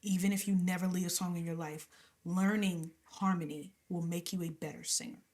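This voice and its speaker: A woman's voice: lowish voice, steady tone, a little fast, a little mellow. A young adult woman singer, a guest in an interview, talking casually and with animation, laughing often and sometimes dropping to a whisper.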